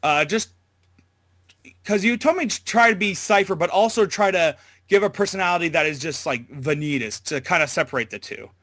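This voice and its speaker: A man speaks casually as an interview guest, in a bright and fairly high voice. He is hesitant and informal, rambling as he explains himself. The sound is close and dry, with very little room. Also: expressive, fairly quick, no room sound. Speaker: amateur voice actor being interviewed.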